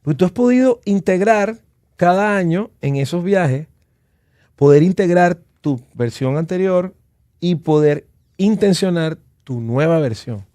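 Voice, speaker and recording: A man's voice: high voice, expressive, unhurried, mellow, no room sound, studio quality. A man in his forties hosting a casual podcast interview, talking in an effusive, enthusiastic way. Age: 40s. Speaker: podcast host.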